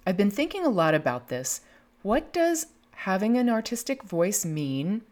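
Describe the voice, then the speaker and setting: A woman's voice: medium pitch, sing-song, a little slow, darkish tone. A woman in her fifties hosting a podcast, speaking reflectively and reading quotations aloud from a script. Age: 50s. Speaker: podcast host.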